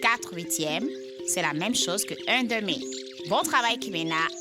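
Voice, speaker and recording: A woman voicing a calm, know-it-all girl in an educational cartoon, deliberate and instructive. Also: mid-range voice, natural voice. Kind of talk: French-language educational cartoon dub. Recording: music and birdsong behind.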